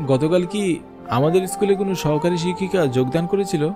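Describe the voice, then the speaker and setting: A man's voice: high voice, fairly quick, natural voice. A man playing a frightened schoolteacher who tells his ghost story in the first person, with dramatic delivery, for a horror cartoon. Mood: somber. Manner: dramatic, fearful, pleading when in dialogue. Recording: music bed under the voice. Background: music.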